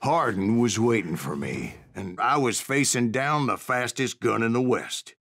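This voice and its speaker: An actor playing a grizzled old gunslinger with an American Western accent, spinning boastful, salty tales in a video game. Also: male voice, mid-range voice, animated, a little slow, a bit bright, slight background noise. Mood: dramatic, boastful. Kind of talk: dramatic storytelling narration. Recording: music behind the voice.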